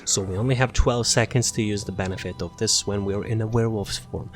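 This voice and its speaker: A man with a fairly low voice talks through a video game build. His delivery is casual and offhand, wandering a little as he explains. He comes across as self-deprecating and unbothered about getting everything perfect. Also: steady tone, a little fast, faint music behind. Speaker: gaming video presenter.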